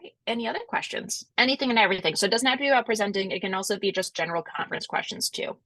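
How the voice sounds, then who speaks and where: A woman with a Rhode Island accent hosts a webinar over a video call. Her voice sits at an ordinary mid pitch and sounds dry and close. She talks in an easygoing, reassuring way, full of little fillers. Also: very fast, pushed and bright, no room sound. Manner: friendly, chatty, reassuring, many fillers. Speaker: webinar host, conference organizer. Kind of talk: casual chat, answering questions.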